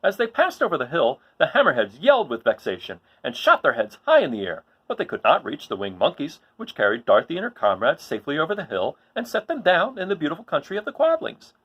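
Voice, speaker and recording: A man reads a storybook aloud as its host, with hugely animated pitch that sits fairly high. His voice is husky, and the recording is a little dull. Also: quick pace, hardly any pauses. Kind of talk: expressive children's story read-aloud.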